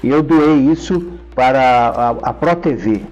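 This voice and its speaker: An older Brazilian man from São Paulo reminisces as an interview guest, explaining things like a storyteller. His mid-pitched voice comes through slightly dull, lo-fi audio with faint music. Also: a little slow, a little mellow. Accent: Brazilian Portuguese. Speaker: interview guest, professor and author.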